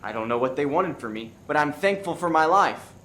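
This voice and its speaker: A man's voice: a little high, animated, fairly quick, heavy background noise, natural voice. A man acting as a cornered undercover federal agent in a comedic radio play, earnest and exasperated. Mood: earnest, relieved.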